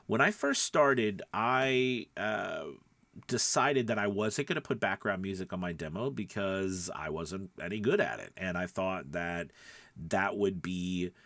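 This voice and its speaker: Guy with a lowish voice, chatty coach explaining stuff, emphatic, keeps checking you follow, real dry close sound. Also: measured pace, fairly bright tone, no room sound. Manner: conversational, emphatic, often checking in with listeners. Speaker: voiceover coach and podcast host. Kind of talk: casual instructional talk.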